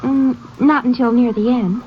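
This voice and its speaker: An actress playing an eager young high school girl usher who gushes and stumbles over her words, in an old radio drama. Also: fairly even intonation, normal speed, natural voice. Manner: breathless, gushing, hesitant starts. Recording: noisy dull old radio broadcast.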